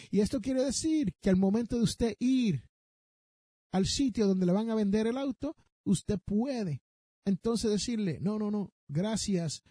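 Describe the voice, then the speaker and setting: A Puerto Rican man presents a podcast monologue in a high voice that swings widely up and down. The tone is husky and soft-edged. He speaks emphatically, addressing listeners directly and dropping in English terms. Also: dry recording, studio quality. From Puerto Rico. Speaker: personal finance podcast host. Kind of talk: podcast monologue giving money advice.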